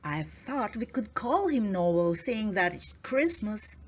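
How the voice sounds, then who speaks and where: A woman's voice: medium pitch, very animated, takes it slowly, husky, exaggerated voice. A woman voicing a happy, eager husband proudly giving a gift in a storybook.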